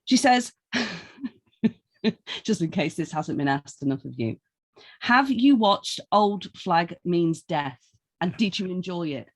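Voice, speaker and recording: Low-voiced teasing woman co-host. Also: expressive, slow. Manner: dry, teasing humour. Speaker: podcast co-host reading listener questions. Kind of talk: casual chat.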